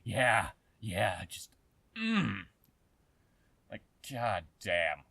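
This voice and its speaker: A man giving a casual, sarcastic commentary rant, exasperated and swearing heavily, with halting, emphatic repeats. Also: on the low side, huge pitch swings, creaky, sharp tone, no room sound. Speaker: host of a commentary channel.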